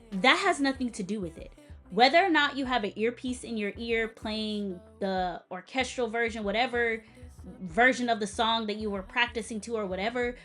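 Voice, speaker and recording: A young woman music educator with a light-sounding voice, critiquing a performance in a casual, emphatic, teacherly way. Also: on the high side. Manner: animated and emphatic, stressing words and interjecting; direct, teacherly advice to the viewer.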